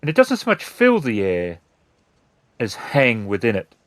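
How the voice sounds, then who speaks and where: A man narrating a tabletop roleplaying game as storyteller, describing scenes in measured, deliberate phrases. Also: mid-range voice, huge pitch swings, dry recording.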